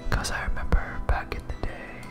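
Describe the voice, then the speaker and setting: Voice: moderate pace, over loud music. A person whispering a hesitant, absent-minded ASMR playthrough of a video game, thinking aloud close to the microphone. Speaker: gaming video host.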